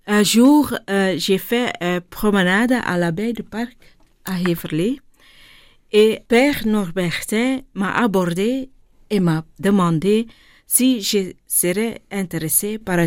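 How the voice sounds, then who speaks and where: A woman with a Flemish accent, a native Dutch speaker, talking in a radio interview, hesitant and searching for her words with many pauses and ums. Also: medium pitch, no room sound, clean studio sound. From India, raised in Flanders. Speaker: guest, charity director.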